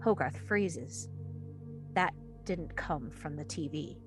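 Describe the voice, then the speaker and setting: A woman's voice: deep voice, slow pace, faint music behind. A woman reading stage directions as the narrator of a screenplay table read.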